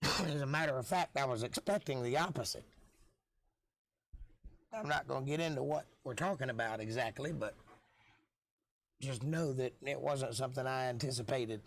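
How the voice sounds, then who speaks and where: Hoarse middle-aged man chatting. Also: medium pitch, moderate pace, long pauses. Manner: laid-back, chatty, folksy. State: losing his voice, hoarse. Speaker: live stream host and musician. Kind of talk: casual live-stream chat with viewers.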